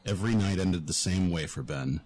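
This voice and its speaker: A man's voice: low-pitched, brisk, darkish tone, slight background noise. A man giving scripted narration for a fantasy audio drama.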